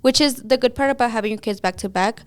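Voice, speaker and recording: Young woman from mexico, smooth clear voice, chatty podcast talk, flips between english and spanish. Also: on the high side, fast talker. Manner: switches between English and Spanish mid-sentence. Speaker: podcast co-host. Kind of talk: casual chat.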